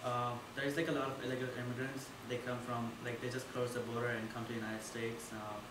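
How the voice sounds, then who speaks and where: A man's voice: medium pitch, flat delivery, quick pace. Young man presenting in an echoey classroom. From India. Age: young adult. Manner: casual, filler-heavy, loose phrasing. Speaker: student presenter. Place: dim classroom with projector. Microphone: far camera. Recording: echoey room from far off with noise.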